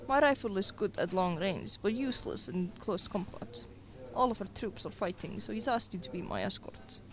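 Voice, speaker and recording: A woman's voice: medium pitch, expressive, moderate pace, a crowd in the background, lightly acted. A woman playing a cool, commanding Soviet sniper lieutenant in a radio drama. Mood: businesslike.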